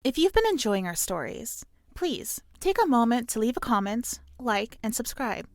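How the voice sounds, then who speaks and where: Female podcast intro reader, slightly high and creaky, dry close sound. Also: sing-song, normal speed, no room sound. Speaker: voice actor introducing the episode.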